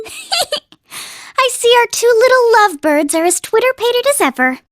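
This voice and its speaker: High-voiced, teasing young princess. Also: female voice, very animated, unhurried, fairly bright tone, dry recording, clean studio sound, full character voice. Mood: playful teasing. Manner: coy, refined, teasing. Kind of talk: anime-style game voice acting.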